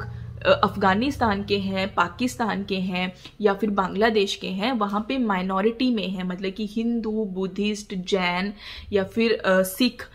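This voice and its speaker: Indian woman explaining in an informal way. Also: medium pitch. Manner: explanatory, mixes in English terms. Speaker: legal educator, presenter. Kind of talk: informal explanatory legal talk.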